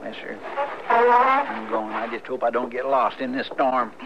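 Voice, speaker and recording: An actor playing a folksy, grumbling, loyal deputy with a rural Western American drawl in a radio western. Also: male voice, mid-range voice, very animated, measured pace, gravelly, over loud music. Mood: deferential, reluctant. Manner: folksy, deferential, complaining. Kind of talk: old-time radio western acting. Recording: muffled, noisy vintage radio recording.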